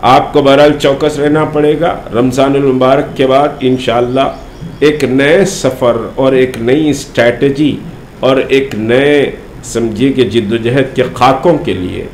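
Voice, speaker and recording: A man gives an unscripted political statement to the press, speaking deliberately and haltingly with frequent pauses. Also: medium pitch, a little mellow. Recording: roomy echo, faint background noise.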